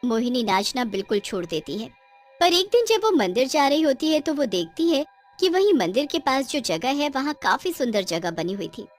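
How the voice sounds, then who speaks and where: A woman's voice: sits high, sing-song, moderate pace, faint music behind. A woman narrating a scripted cartoon story in a dramatic storytelling style.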